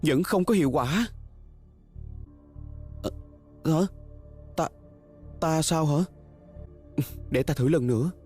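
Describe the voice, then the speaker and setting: Eager, deferential young male attendant, fantasy costume dub, hesitant delivery, higher register, light score underneath. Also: takes it slowly, long pauses, darkish tone, faint music behind. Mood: puzzled, determined. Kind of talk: dubbed fantasy costume drama acting.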